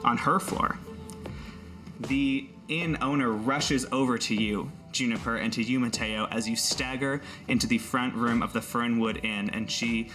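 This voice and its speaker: A man with a bright, forward voice at an average male pitch leads a tabletop role-playing game. He works as the game master, narrating scenes and talking casually with his players. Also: steady tone, measured pace, soft background music.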